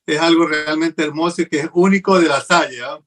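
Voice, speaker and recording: A man hosts an interview program, courteous and upbeat as he welcomes his guest. His voice is a touch high for a man, and he fills pauses with small hesitations. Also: fairly even intonation, fairly bright tone. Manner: courteous, upbeat, many fillers. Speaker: host. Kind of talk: hosting an interview.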